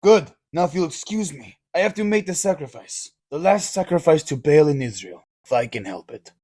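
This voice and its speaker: A man's voice: fairly high pitch, animated, moderate pace, a little mellow. A man voicing a ruthless, scheming, proud king who speaks in a commanding, declamatory way in an audio drama. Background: music.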